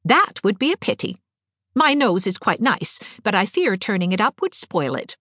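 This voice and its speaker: A woman's voice: medium pitch, very animated, normal speed, fairly bright tone, no room sound, clean studio sound, voice pushed up. A woman voicing a cheerful, fanciful eighteen-year-old girl in a storybook, talkative, wry and whimsical. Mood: teasing. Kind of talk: audiobook character dialogue.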